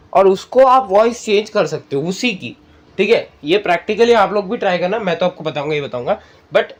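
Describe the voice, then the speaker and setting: A man with an Indian accent and a slightly high-set voice presents a casual tutorial. He explains each step conversationally. He keeps checking in with the listener as he goes. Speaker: tutorial host.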